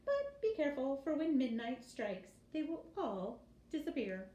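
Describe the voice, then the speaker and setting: A woman's voice: sits high, very animated, normal speed, warm tone, lo-fi sound, voice pushed up. A woman voicing a kindly, grand fairy godmother pig from a storybook in an emphatic singsong. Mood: grand, encouraging. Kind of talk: storybook character voice. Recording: roomy echo with faint background noise.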